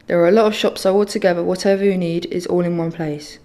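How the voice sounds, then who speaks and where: A woman's voice: fairly low pitch, steady tone, fast talker, mellow. A 16-year-old girl, speaking earnestly in personal testimony for a short film. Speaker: young film participant.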